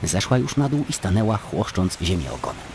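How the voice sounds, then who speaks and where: A man narrating a scripted story as a dramatic, expressive storyteller in an audio drama. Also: sits low, fairly quick, slight background noise.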